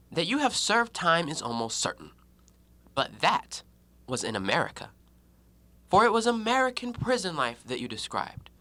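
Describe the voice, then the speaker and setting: An actor as a scornful, animated intellectual, bright-voiced. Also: medium pitch, exaggerated voice. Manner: formal, articulate, theatrical delivery. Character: proud, shrewd, scornful educated man in confrontation. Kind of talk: stage-style audio play acting.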